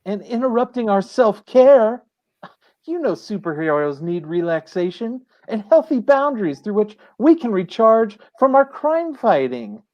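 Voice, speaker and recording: A sensible talking dog superhero voiced by a woman in a comic radio play. The delivery is earnest and very varied in pitch, heard through dull, muffled call audio. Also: very animated, measured pace. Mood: reasonable. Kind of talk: comedic radio play acting over video call. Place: dim room at home, on a video call.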